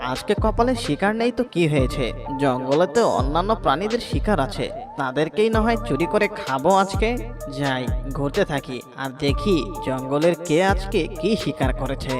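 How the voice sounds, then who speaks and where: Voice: mid-range voice, animated, fairly quick, close to an everyday voice. Jackal, kids' cartoon voice actor: crafty thief, hungry and scheming, mid register over a music bed. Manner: scheming, self-talking. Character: sly, hungry, thieving jackal. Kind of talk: Bengali children's cartoon voice acting. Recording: background music.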